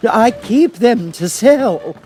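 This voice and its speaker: A man playing a nervous, apologetic hatter with a loud, hesitant, stammering manner, as in a storybook. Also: extremely high pitch, animated, slow, a little hiss or hum, voice pushed up, heavily acted.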